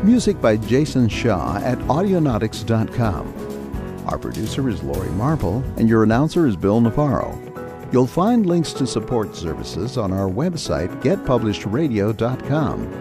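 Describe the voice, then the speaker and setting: A man's voice: fairly low pitch, wildly swooping pitch, normal speed, over music. A man working as a radio announcer, reading a scripted show outro.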